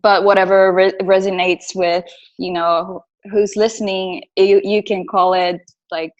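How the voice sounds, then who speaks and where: A woman's voice: medium pitch, level tone, a little slow, lo-fi sound. A woman from Brazil with a Brazilian Portuguese accent, speaking as a podcast guest and earnestly recounting her personal journey. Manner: earnest and reflective. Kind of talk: casual interview, personal storytelling.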